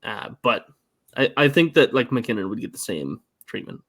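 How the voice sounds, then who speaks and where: A man's voice: mid-range voice, lively intonation, moderate pace, breathy edge. A man co-hosting a casual sports podcast, laying out the facts and then asking for his partner's views. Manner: leads the discussion, laying out the facts before asking questions. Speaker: co-host, hockey writer.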